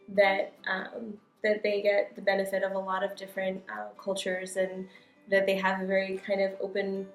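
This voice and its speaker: American-born woman talking casually about her life, roomy sound, faint guitar music. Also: mid-range voice. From United States. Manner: conversational, informal storytelling. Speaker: interviewee. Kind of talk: personal reflection in an interview. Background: soft guitar music.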